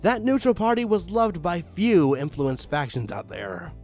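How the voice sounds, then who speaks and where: A man's voice: a little high, expressive, measured pace, darkish tone, faint music behind. A man reading a badly written fan story aloud in a mock-dramatic, exasperated way. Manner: exasperated, mock-dramatic, sighing and swinging into outbursts. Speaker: reader of fan fiction.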